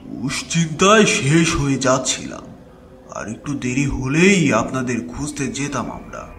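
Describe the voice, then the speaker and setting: A man's voice: mid-range voice, very animated, unhurried, gravelly, a bit bright, exaggerated voice. A man playing an anxious, earnest companion in a horror audio story. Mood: worried relief. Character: anxious, worried man, companion of ghost hunters. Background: background music.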